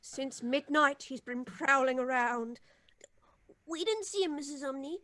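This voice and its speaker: A woman's voice: high voice, measured pace, fairly bright tone, no echo. A woman voicing an elderly, anxious, deferential housekeeper, in old-time radio drama style, stammering and ominous. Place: small home recording booth. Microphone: close studio microphone, headset monitoring.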